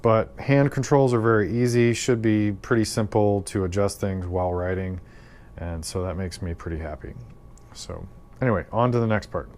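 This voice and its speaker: A man giving a casual, unscripted product review, speaking in a measured way with thoughtful, hesitant phrasing. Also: lowish voice, darkish tone, slight background noise. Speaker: product reviewer, video host.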